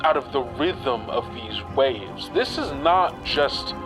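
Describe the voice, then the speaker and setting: A man narrating a tabletop game as game master, in measured, suspenseful storytelling. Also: medium pitch, expressive. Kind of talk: slow dramatic tabletop narration. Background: music bed.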